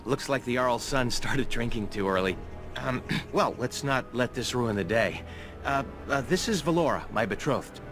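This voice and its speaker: A man's voice: mid-range voice, expressive, moderate pace, over music, lightly acted. An actor playing an anxious, good-natured young male elf in a fantasy video game, hesitant and worried. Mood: awkward, hesitant.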